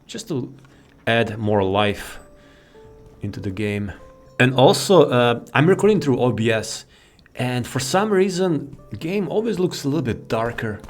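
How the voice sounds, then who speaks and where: Male gaming host, mid-pitched, informal and rambling commentary over faint music. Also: big pitch swings, unhurried. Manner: rambling, informal, easily sidetracked. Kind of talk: casual game mod showcase commentary. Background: faint game music.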